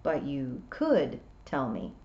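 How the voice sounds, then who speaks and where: A woman's voice: rumbling low, huge pitch swings, slow pace, dark tone, pitched below the performer's own voice, exaggerated voice. A woman voicing a small, earnest and frustrated young apprentice witch, plaintive and questioning, on a slightly dull recording. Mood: curious, put out.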